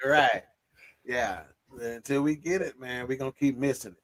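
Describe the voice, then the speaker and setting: A man co-hosting a film podcast, talking in a slangy, animated storytelling way. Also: mid-range voice, moderate pace, a crowd in the background. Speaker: podcast co-host. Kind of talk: casual discussion.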